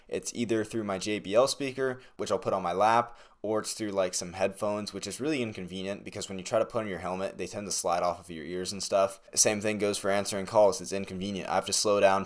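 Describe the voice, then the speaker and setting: A young man giving a casual, conversational product review to camera in a laid-back, slangy way. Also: lowish voice. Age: young adult. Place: small bedroom, indoors.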